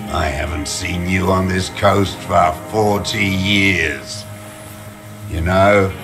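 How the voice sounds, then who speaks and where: An actor playing a bitter, contemptuous old deserter in a video game, gravelly and muttering with scorn. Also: male voice, sits low, heavily acted. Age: older. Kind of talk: dramatic video game voice acting. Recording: music under the voice. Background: background music.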